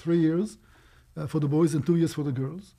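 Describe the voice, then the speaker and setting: A man in his sixties, born in Romania, telling his life story as an interview guest in a brisk, animated way. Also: a little high, creaky. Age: 60s. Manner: brisk, animated, quick asides and small laughs.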